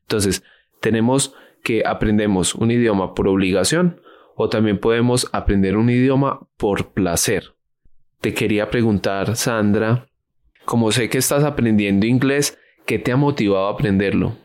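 A man's voice: fairly low pitch, moderate pace, darkish tone. A man hosting a podcast conversation, asking curious questions and explaining things to his guest. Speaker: podcast host. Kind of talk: casual interview conversation.

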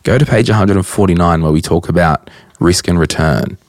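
Croaky low-voiced Australian man. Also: a little fast, a little mellow, no echo, studio quality. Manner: chatty, blunt, rambling with fillers. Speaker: podcast host. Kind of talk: casual solo Q&A podcast. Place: at a desk, indoors.

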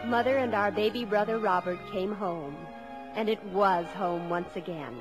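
A young woman playing an earnest high-school daughter, telling her family's story expressively in a 1950s radio drama. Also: normal speed, lightly acted, rough recording. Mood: fond, reminiscent. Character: bright, earnest eldest daughter, a high-school girl, narrating. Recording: old radio broadcast with loud music.

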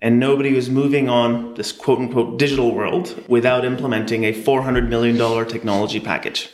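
A man with a mid-pitched, ordinary voice, speaking as a guest on an interview podcast. He explains things in a measured, steady manner, and his voice carries some echo from the room. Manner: measured, explanatory, light laughs. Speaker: startup co-founder, guest. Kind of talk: interview answers. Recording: echoey room.

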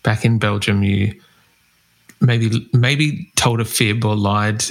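A man's voice: fairly low pitch, steady tone, slow, vocal fry. A man interviewing a musician in a casual chat, rambling and searching for his words.

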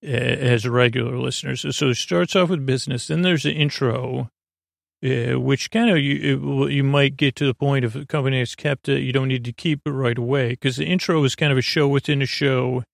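Creaky-voiced man rambling at bedtime. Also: medium pitch, fairly even intonation, no room sound, studio quality. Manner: meandering, halting, trails off and repeats himself; gently goofy, self-deprecating. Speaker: podcast host. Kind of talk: rambling bedtime monologue for falling asleep.

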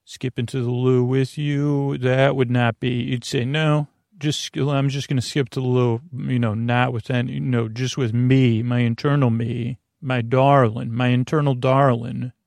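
Creaky-voiced man, rambling gently. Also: medium pitch, level tone, unhurried, hardly any pauses, no room sound. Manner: meandering, frequently trailing off and circling back; silly, goofy. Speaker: podcast host. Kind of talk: rambling bedtime podcast introduction.

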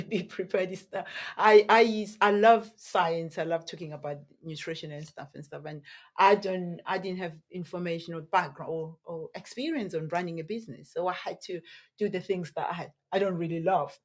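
Soft-voiced woman, low and husky. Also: moderate pace. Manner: animated, laughs often, restarts sentences. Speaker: podcast guest, nutritionist. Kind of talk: casual interview chat.

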